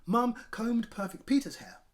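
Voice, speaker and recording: A man presenting a children's storytime, talking directly and encouragingly to young listeners and narrating in a lively way. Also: very high-pitched, moderate pace.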